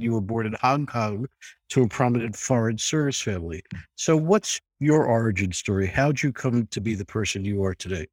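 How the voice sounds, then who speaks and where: A man hosting a podcast, delivering a polished scripted introduction and then asking a guest questions in a measured way. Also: medium pitch, no echo. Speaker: podcast host.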